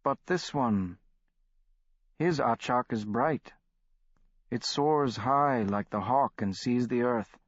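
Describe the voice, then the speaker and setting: Man voicing solemn old shaman, measured, dry dull audiobook recording. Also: mid-range voice, dry recording.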